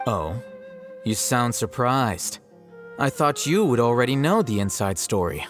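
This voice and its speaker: A man's voice: medium pitch, sing-song, soft background music. An actor playing an aloof, smug young male scholar in a video game, with a dry, needling manner. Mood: cool, dry. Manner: dry, sardonic, unhurried needling.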